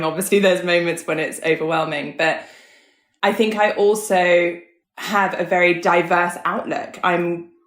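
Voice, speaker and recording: A woman guest, raised in Hong Kong, shares personal stories in a casual, candid way. Her voice is a little on the low side, and the recording is noticeably roomy and echoey. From born in the US, grew up in Hong Kong. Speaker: podcast guest, coach.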